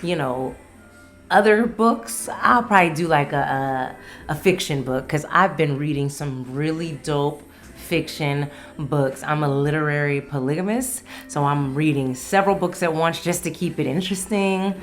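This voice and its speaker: A woman's voice: sits low, normal speed, faint music behind. A woman hosting a solo podcast in a casual, candid, playful way, swearing freely. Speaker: podcast host.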